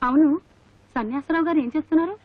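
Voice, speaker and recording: An actress playing a caring, inquisitive elder sister in a Telugu film. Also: female voice, high-pitched, racing, no echo, lightly acted. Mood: inquiring. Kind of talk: Telugu comedy film acting. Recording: dull film soundtrack.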